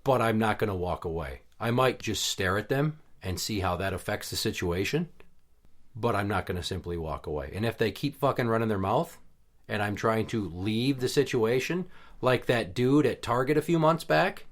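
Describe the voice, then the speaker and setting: A man's voice: lowish voice, normal speed. A man hosting a podcast in casual chat, talking bluntly and heatedly with plenty of swearing. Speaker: podcast host.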